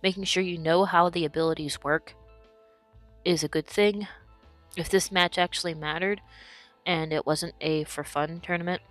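A woman's voice: fairly low pitch, fairly even intonation, moderate pace, long pauses, a bit bright, soft background music. A woman who is sick and losing her voice, explaining her moves as she commentates her own gameplay. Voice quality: hoarse. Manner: explanatory, talks through her decisions.

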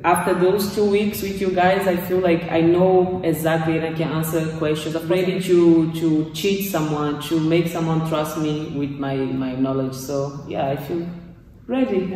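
A woman's voice: low-pitched, steady tone, normal speed, dark tone, distant mic. A young woman from Brazil with a Brazilian Portuguese accent, speaking English as a second language, giving a casual testimonial and often restarting her sentences as she searches for words. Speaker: course graduate giving a testimonial. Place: small gym room, indoors, in front of weight racks. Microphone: far camera. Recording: echoey room from off-mic.

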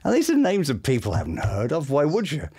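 A middle-aged man with a British accent telling anecdotes in an expressive, enthusiastic way as an interviewed voice actor. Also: mid-range voice, a little fast, breathy edge. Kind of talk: animated anecdotal interview chat. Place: small home studio room. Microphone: boom-mounted studio microphone.

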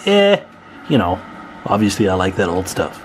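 A man giving a casual, informal hands-on demonstration as a hobbyist, unhurried, recorded on a handheld camera in a room at home. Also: low voice, very animated, a little hiss or hum. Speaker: CB radio hobbyist showing his equipment. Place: indoor room at home with radio gear. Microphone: handheld camera microphone, speaker off screen.

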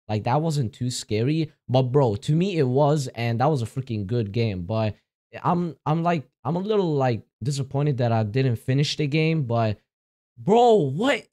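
A young man playing a horror game and commenting into a headset mic in a small room, jumpy and gasping at scares, his voice going hoarse from all the screaming. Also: a little high, a little mellow. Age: young adult. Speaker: gaming streamer.

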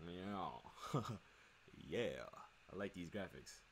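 A man's voice: deep voice, expressive, very slow, slight background noise. A young American man doing casual, rambling let's play commentary in slang for his live viewers. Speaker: live streamer playing a driving game. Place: indoor room at home. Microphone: desk microphone for streaming. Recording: loud game music behind.

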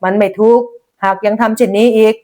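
A woman's voice: medium pitch, flat delivery, fairly quick, dark tone, close to an everyday voice. Woman voicing a firm village headman. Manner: firm, formal, admonishing. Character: stern, authoritative village headman, a man.

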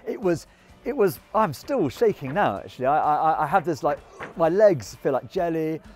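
A British man in his forties talks between gasps while hauling himself up a steep ski slope, his voice sitting a little high. The sound comes from a camera held at arm's length outdoors, and clear music runs underneath the whole time. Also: big pitch swings, normal speed. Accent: British English. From Britain. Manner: breathless, exclaiming while climbing. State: out of breath. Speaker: presenter and runner. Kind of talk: casual running vlog. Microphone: action camera held at arm's length.

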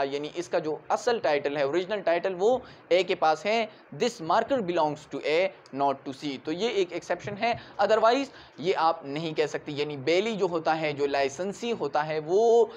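A man in his twenties with a Pakistani accent, giving an explanatory law lecture in an emphatic way, repeating key points. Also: fairly high pitch. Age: 20s. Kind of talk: explanatory lecture mixing Urdu and English. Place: small indoor room in front of a whiteboard. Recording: muffled audio with clear background noise.

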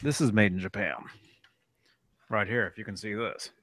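A man in his thirties, a guest talking in relaxed, halting bursts on a studio mic with headphones over a video call. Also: lowish voice. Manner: relaxed, halting, repeats words. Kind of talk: casual chat.

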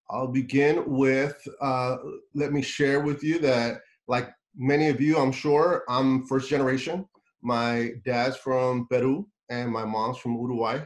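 Middle-aged guest speaker over a video call, casual talk with fillers and repeated words. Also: male voice, medium pitch. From United States. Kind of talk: webinar talk. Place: home office with bookshelves.

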